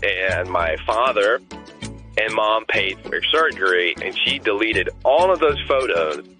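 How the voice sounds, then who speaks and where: Young man, lowish pitch, sharp forceful tone, earnest caller, faint music behind. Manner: earnest, emphatic. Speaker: radio show caller. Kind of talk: personal phone interview.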